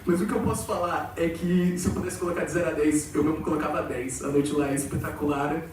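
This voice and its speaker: A young Brazilian man talks loosely to a student crowd, rambling and full of fillers. His voice sits a bit high and comes from far off the mic in a very echoey hall with faint noise underneath. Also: steady tone, fast talker. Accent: Brazilian Portuguese, with some Portugal slang. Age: young adult. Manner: chatty, rambling, many fillers. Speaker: exchange student presenter. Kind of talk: informal presentation to students. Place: large auditorium.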